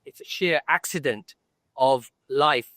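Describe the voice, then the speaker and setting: An older man with a British accent, making a reflective, measured argument as a panellist in a debate. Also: medium pitch, sharp tone, no echo. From Britain.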